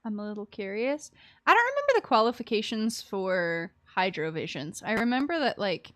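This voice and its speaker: A woman's voice: mid-range voice, big pitch swings, normal speed, a bit bright, no room sound, studio quality. A woman live-streaming a game, giving casual, chatty and giggly commentary to her viewers.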